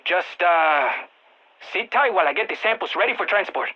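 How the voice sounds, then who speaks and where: An actor playing an anxious, excitable nerdy scientist in a video game, speaking over a radio, expressive and prone to stammering and repeating words. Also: mid-range voice, normal speed, highs cut off. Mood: brisk, focused. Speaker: field research scientist.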